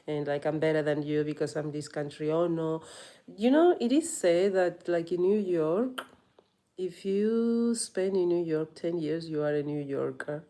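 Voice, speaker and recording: A woman's voice: on the low side, gravelly, warm tone. A woman yoga teacher in a reflective personal monologue, earnest and rambling, often saying "you know".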